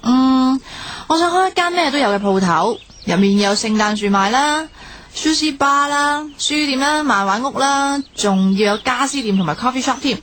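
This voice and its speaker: An actress playing a studious, dreamy young graduate in a radio drama. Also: female voice, sits high, lively intonation, moderate pace, a bit bright, slight background noise. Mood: bright, dreamy. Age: young adult. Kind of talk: Cantonese radio drama acting.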